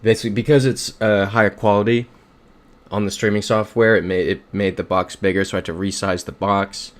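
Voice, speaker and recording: A young man hosts a casual livestream from his room, upbeat as he thinks aloud and reads the chat. His voice sits on the low side, captured on a desk mic. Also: little pitch movement, brisk. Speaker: streamer.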